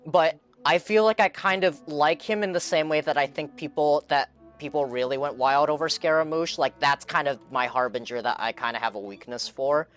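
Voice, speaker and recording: A man's voice: fairly high pitch, moderate pace, few pauses, fairly bright tone. A young man chatting casually as a game streamer, rambling earnestly with a fan's enthusiasm and repeating his phrases. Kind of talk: casual live-stream chat, game reaction. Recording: game music in the background.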